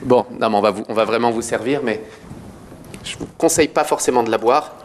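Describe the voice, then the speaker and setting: A man's voice: medium pitch, a little fast, slight background noise. A man presenting a chat show on a studio set in a playful, teasing and theatrical way. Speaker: talk show host.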